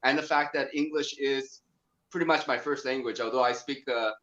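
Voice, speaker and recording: A man's voice: medium pitch, steady tone, normal speed, fairly bright tone. A man, a guest in a casual interview, speaking thoughtfully and hesitantly with many fillers. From America. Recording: dull lo-fi sound.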